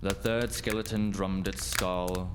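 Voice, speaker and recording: A man's voice: deep voice, steady tone, slow pace, background noise. A man narrating a scripted folk tale as the storyteller in a radio play.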